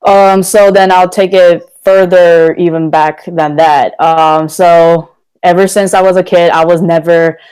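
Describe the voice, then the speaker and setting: A man's voice: high voice, little pitch movement, unhurried, darkish tone, smooth, low-quality recording. A young man from Korea, a guest talking candidly and energetically in a casual podcast interview. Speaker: guest, motivational speaker.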